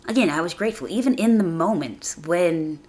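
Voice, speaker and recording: A woman hosting a casual motivational podcast, speaking conversationally and earnestly, slightly raspy from a chest cold. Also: fairly low pitch, expressive, a little fast, darkish tone. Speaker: podcast host.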